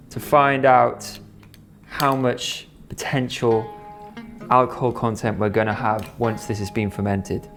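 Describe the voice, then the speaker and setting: A Welsh man talks casually to camera, enthusiastic and a little rambling as he works. His voice sits in a middle register. Faint music plays underneath. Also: mid-range voice. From Wales. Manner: chatty, enthusiastic, talks while working. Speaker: vlogger and shop owner.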